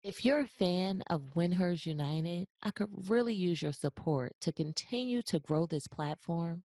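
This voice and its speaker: A woman's voice: lowish voice, expressive, measured pace, warm tone, dry recording. A woman hosting a podcast interview, enthusiastic and chatty, often repeating her praise. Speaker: podcast host. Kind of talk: casual interview, upbeat.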